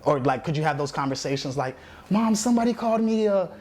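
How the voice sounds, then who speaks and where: A man's voice: on the high side, animated, quick pace, darkish tone. A man hosting a casual group discussion, asking informal, loosely phrased questions. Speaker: podcast host.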